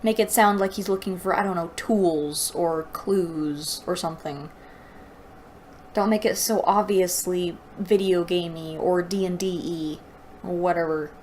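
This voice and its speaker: A woman's voice: fairly low pitch, fairly even intonation, a little slow, darkish tone, slight background noise. A woman reading a story aloud and breaking in with sarcastic, exasperated commentary. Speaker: host reading and critiquing a fantasy novel aloud.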